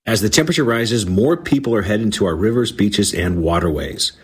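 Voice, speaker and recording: Low husky guy reading a scripted public service announcement. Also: expressive, fairly quick.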